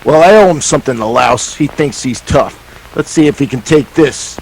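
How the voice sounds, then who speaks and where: Man playing very gravelly, sneering henchman. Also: medium pitch, moderate pace, a little hiss or hum, natural voice, low-quality recording. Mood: sneering, vengeful. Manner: sneering, slangy tough talk. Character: spiteful, trigger-happy young henchman of a villain. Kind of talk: 1940s radio play acting.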